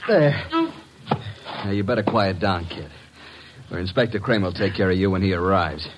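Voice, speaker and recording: Low-voiced brash detective's sidekick played by a man. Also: moderate pace, close to an everyday voice. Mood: tough, accusing. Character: tough, wisecracking male detective's assistant. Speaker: radio drama actor. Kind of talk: classic radio detective drama acting. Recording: noisy old radio broadcast recording.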